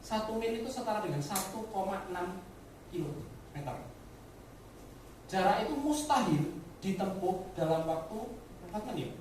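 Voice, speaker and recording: A woman's voice: medium pitch, lively intonation, moderate pace, pauses often, a little mellow. A woman giving a motivational business talk to colleagues, often addressing listeners directly with rhetorical questions and dropping in English words. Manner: mixes English words into speech. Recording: very echoey room, distant mic, faint noise.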